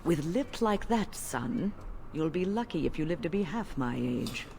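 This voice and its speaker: A wry older woman in a fantasy game, her voice creaky and low. She is dry and chiding, heard in a roomy space with chatter behind her. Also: very animated, unhurried, full character voice. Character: sharp-tongued, wry elderly woman companion. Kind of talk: fantasy video game character acting.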